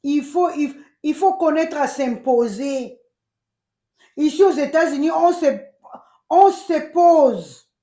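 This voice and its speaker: A woman with a Congolese accent giving emphatic, animated casual commentary as a channel host, switching between French and Lingala. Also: sits high, slow pace, echoey room. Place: United States.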